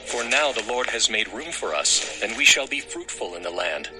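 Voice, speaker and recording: An actor playing an earnest biblical patriarch in a dramatized scripture recording, with a declamatory delivery. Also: male voice, on the low side, big pitch swings, moderate pace. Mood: grateful. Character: Isaac, an earnest Old Testament patriarch, male. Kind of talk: dramatized scripture audio acting. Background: music.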